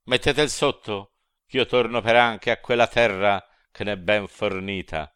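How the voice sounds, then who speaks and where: Man shouting as a fierce gloating devil. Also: fairly low pitch, steady tone, measured pace, smooth, no echo, clean studio sound, pitched above the performer's own voice. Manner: shouting, drawn out, declaiming.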